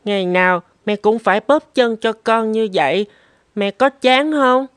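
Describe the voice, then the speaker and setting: A woman's voice: a little high, normal speed, clean tone, no room sound, natural voice. A woman voicing an earnest, determined seven-year-old boy in a cartoon. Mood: wistful. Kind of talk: Vietnamese cartoon voice acting.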